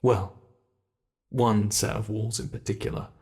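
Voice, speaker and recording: A British man voicing an ancient male monster in an ASMR horror roleplay, speaking with soft, mockingly polite menace. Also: on the low side, flat delivery. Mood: possessive menace. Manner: slow, soft-spoken, teasing menace.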